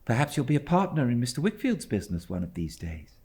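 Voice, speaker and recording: A man's voice: mid-range voice, wildly swooping pitch, fast talker, vocal fry, darkish tone, voice pushed up. A man voicing a polite, curious young British boy in an audiobook, asking things in a light, earnest way. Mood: eager, polite. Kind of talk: audiobook character acting.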